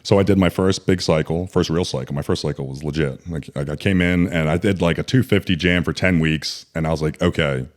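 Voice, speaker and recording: A man's voice: extremely low pitch, fairly quick, hardly any pauses. A man in his thirties, a bodybuilder guest on a podcast, telling his story candidly and rambling with many fillers and restarts.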